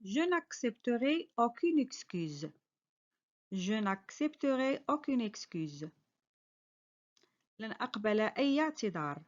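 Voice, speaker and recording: High-voiced teacher woman drilling phrases. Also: very animated, unhurried, pauses often, muffled recording. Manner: careful, clearly spoken model sentences, each repeated. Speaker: French teacher. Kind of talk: slow repeated phrase drills with quick translations.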